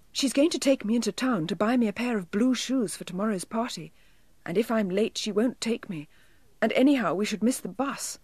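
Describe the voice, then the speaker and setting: A woman's voice: on the high side, darkish tone, breathy edge, dry recording, voice pushed up. A woman with a British accent voicing a kind, earnest, polite little girl in a children's storybook.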